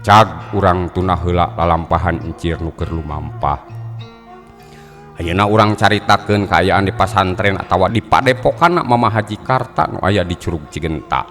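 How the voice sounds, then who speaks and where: A man telling a traditional folk tale in a measured, dramatic way, with folk music playing behind. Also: low voice, a bit bright. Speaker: storyteller. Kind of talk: traditional storytelling narration.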